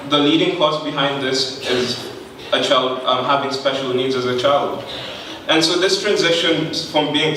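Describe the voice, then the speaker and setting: A young man from Kenya with a Kenyan accent, whose first language is not English, giving an earnest, measured pitch at a podium. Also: pushed and bright, slight background noise. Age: young adult. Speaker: student pitching an innovation. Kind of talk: prepared pitch speech. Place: large bright indoor hall at a podium. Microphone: podium microphone, recorded from far off. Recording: echoey hall from far off.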